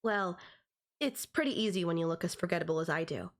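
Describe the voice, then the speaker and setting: A woman's voice: lowish voice, animated, quick pace, lightly acted. A woman playing a possessive, teasing young stalker in an audio roleplay, talking straight to the listener in a conversational, condescending yet affectionate way. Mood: smug, teasing.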